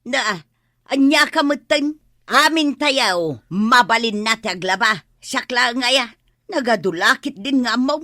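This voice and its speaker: A fed-up village wife scolding with an Ilocano accent in a radio comedy. Her voice is high and animated, drawing out her words. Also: a little slow, no room sound, clean studio sound. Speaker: radio drama actor.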